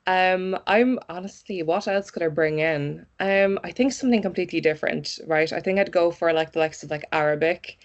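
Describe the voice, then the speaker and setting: A woman who grew up speaking Polish and English, a localization specialist chatting as an interview guest in a relaxed, friendly way. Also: lowish voice, fairly quick, hardly any pauses, muffled recording. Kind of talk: casual interview chat.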